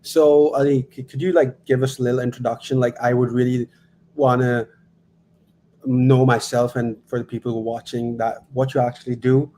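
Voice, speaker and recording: A man's voice: mid-range voice, level tone, moderate pace, dark tone, a little hiss or hum. A man with a Pakistani accent politely asking questions in a casual, impromptu interview. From Lahore, Pakistan. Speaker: guest acting as interviewer.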